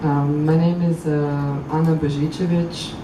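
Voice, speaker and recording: A woman from Croatia reading poems at a public event, halting and thoughtful between poems and measured while reading. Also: deep voice, level tone. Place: outdoor public venue. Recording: echoey, far from mic, street noise.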